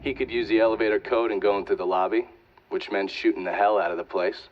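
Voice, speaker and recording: An actor playing a reflective storyteller in a video game, recounting crimes in a conversational, matter-of-fact documentary style. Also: male voice, lowish voice, steady tone, fast, highs cut off, background noise, natural voice.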